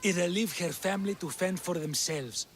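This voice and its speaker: An actor playing an arrogant, cruel slave master and cultist in a video game, haughty and dismissive. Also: male voice, high-pitched, normal speed, close to an everyday voice. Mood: smug, menacing. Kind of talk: video game cutscene acting.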